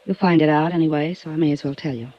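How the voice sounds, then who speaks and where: A woman's voice: deep voice, steady tone, fast talker, no room sound, natural voice. An actress playing a cool, aloof young daredevil woman in a 1950s radio drama. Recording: dull old radio recording.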